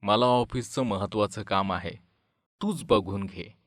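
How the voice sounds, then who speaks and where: Cartoon father, male actor: short-tempered family man, lowish pitch, dry studio sound. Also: a little slow, fairly bright tone, dry recording. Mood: curt, dismissive. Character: short-tempered father, cold then remorseful. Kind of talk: dubbed animated moral story.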